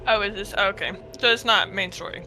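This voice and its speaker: A woman's voice: mid-range voice, fast talker, sharp tone, a little hiss or hum. A woman chatting casually as she plays along on a game stream. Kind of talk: casual chat during gameplay. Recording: music behind the voice. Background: game music.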